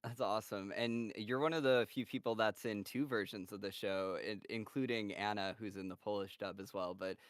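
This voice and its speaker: A man with a fairly low voice hosts a relaxed group chat. He sounds upbeat and laughs easily between questions. The sound is dry and close, with no room around it. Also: normal speed, hardly any pauses, dry recording. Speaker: host of cast Q&A. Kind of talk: casual chat.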